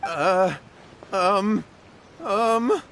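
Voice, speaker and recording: A man's voice: high voice, very slow, a bit bright, a little hiss or hum, full character voice. A man voicing an anxious, flustered young villager in a video game, with a nervous, stammering delivery. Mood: nervous, evasive.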